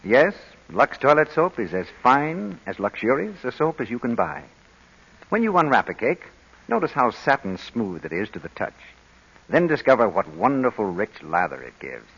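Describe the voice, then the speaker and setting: A man's voice: mid-range voice, big pitch swings, normal speed, a bit bright, husky. A man announcing an old-time radio show and reading sponsor copy in a formal period style. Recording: dull vintage radio broadcast recording.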